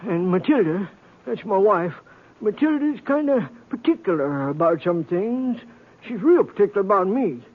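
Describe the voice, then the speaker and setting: An actor playing a timid, henpecked older bank teller in a radio western, stammering nervously with a folksy American accent. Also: male voice, sits high, expressive, normal speed. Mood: flustered, confiding. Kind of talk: old-time radio western acting. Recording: tinny, narrow-band old radio broadcast.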